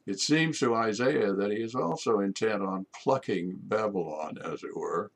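An older man, a priest, delivering a measured homily from his study, with rhetorical questions. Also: lowish voice. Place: home study with bookshelves.

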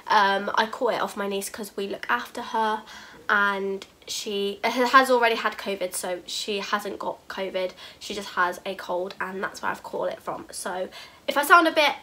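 A woman's voice: mid-range voice, normal speed, sharp tone. A British woman in her twenties, a vlogger chatting to camera in her bedroom, gushing and repeating herself, a bit bunged up with a cold. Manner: gushing, repeats "really, really cute". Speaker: haul vlogger. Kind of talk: casual chat to camera. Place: bedroom, indoors.

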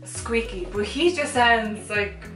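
A woman's voice: on the high side, takes it slowly, a bit bright, faint music behind. A woman in her twenties chatting casually and playfully as a vlog co-host. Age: 20s. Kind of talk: casual playful challenge banter. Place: small bedroom, indoors. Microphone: camera a couple of metres away. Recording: roomy, distant camera mic.

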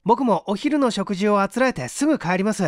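Man acting polite young male assistant, earnest, brisk, lifted voice, lively intonation, audiobook. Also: sits high, dry recording, studio quality, pitched above the performer's own voice. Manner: courteous, brisk, dependable.

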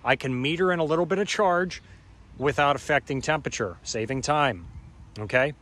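A man's voice: a little high, moderate pace, a bit bright. A man in his thirties working as an HVAC technician, explaining a repair step by step outdoors and often checking in with "okay?". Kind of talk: hands-on how-to explanation. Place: outdoors by an air conditioning unit in a yard. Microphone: camera mic, handheld or on a tripod.

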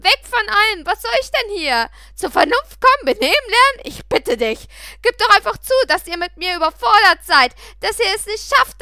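An angry sixteen-year-old girl from an audiobook acted out by a woman narrator. She is defiant, sarcastic and confrontational. Her voice is high, sharp and forceful. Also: dry recording, studio quality, heavily acted.

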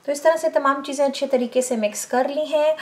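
A woman hosting a home cooking show, calmly explaining each step and addressing her viewers directly. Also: high voice, fairly quick. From Pakistan. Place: home kitchen.

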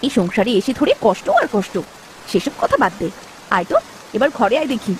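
A woman playing a nagging, sharp-tongued poor village wife and mother in a cartoon story, scolding and sarcastic. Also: on the high side, wildly swooping pitch, normal speed, slight background noise. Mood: bossy, impatient. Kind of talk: dramatic cartoon story dub.

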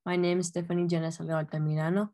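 A woman's voice: on the low side, flat delivery, rapid-fire, dark tone, slight background noise. A young woman from Honduras, earnestly telling her story as an activist over a video call from her bedroom, with many "um"s and "like"s. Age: young adult. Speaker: youth climate activist. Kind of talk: casual spoken account. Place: bedroom at home, indoors. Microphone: laptop webcam, video call.

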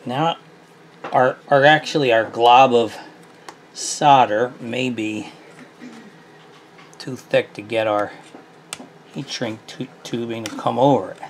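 Relaxed man narrating a workbench repair. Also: mid-range voice, takes it slowly, long pauses, slight background noise. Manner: relaxed, self-deprecating, thinking aloud with restarts. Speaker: small engine repair host. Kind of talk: casual hands-on repair tutorial. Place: workshop bench, indoors.

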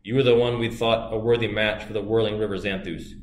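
A man's voice: fairly low pitch, steady tone, brisk, close to an everyday voice. A man voicing a fierce queen goddess who urgently orders her son into battle. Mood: commanding. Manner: urgent, commanding. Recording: roomy with faint hiss.

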